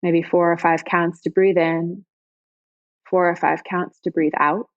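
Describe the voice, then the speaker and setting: Low-voiced woman guiding a gentle meditation. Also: flat delivery, dry recording, highs cut off. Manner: soothing, gentle, unhurried phrasing with pauses. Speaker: yoga teacher guiding meditation. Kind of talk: guided body scan meditation.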